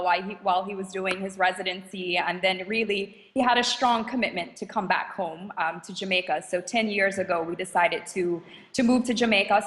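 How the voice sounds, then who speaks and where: A woman's voice: mid-range voice, a bit bright, big-room sound. A woman from New Orleans, a dentist being interviewed, who talks in a friendly way and laughs easily. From New Orleans, USA. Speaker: dentist guest. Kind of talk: casual interview.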